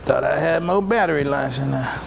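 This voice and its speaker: A man giving a public religious lecture as an imam, speaking in a halting, deliberate way and often repeating his phrases. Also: fairly high pitch, vocal fry, noisy background. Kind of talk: public religious lecture, partly reading from notes. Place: live public address in a room.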